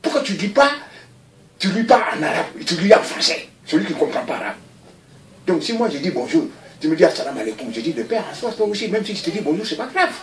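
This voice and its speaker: A man in his fifties talks on at length in an interview, repeating himself, his voice swinging high and low with a lively, animated tone. He sounds roomy and set back from the microphone. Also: fast talker, distant mic, slight background noise. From Mali. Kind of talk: recorded video interview.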